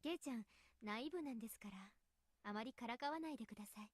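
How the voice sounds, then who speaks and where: An actress playing a polite teenage girl who gently chides others to protect her friend, in Japanese visual novel style. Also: a little high, rapid-fire, dry recording, studio quality, lightly acted. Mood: gently chiding. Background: game music.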